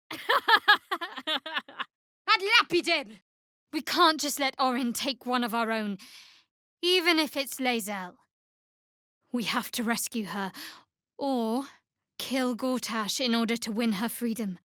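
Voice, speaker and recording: An actress playing a guarded, sardonic young female cleric of a dark goddess in a video game, speaking with dry, wry, clipped sarcasm. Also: on the high side, slow, long pauses, dry recording. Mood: forceful casting cries, then guarded, matter-of-fact. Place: dry studio recording.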